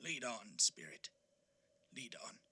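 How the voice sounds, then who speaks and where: An actor playing an anxious, repentant old miser in an audio drama, with a stammering, pleading delivery. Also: male voice, fairly low pitch, big pitch swings, slow, pushed and bright, husky, heavily acted. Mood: fearful, earnest. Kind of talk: audio drama acting of a Victorian classic.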